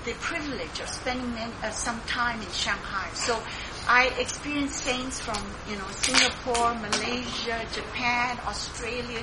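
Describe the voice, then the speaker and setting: A woman giving an earnest sharing at a church meeting, addressing her listeners as saints. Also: a little high. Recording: noisy recording.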